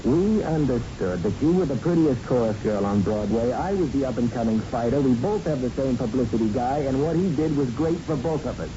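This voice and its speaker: A mellow-voiced young prizefighter played by a man, cocky and charming, in a vintage radio drama. His slangy patter swings up and down in pitch. The old broadcast is muffled, with faint noise. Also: animated, brisk, hardly any pauses, close to an everyday voice. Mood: breezy, dismissive. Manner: breezy, slangy, smooth-talking. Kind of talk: 1970s radio drama acting.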